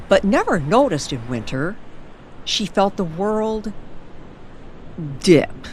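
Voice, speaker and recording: A woman narrating an eerie scripted story in an old-time radio style, with deliberate, suspenseful delivery. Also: mid-range voice, slight background noise, rough recording.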